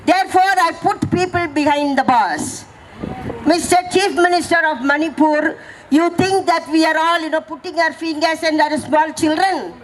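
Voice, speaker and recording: A woman, hoarse from speaking, gives an impassioned protest speech and fires rhetorical questions at the crowd. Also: very high voice, moderate pace, slight background noise, low-quality recording. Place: outdoor protest gathering.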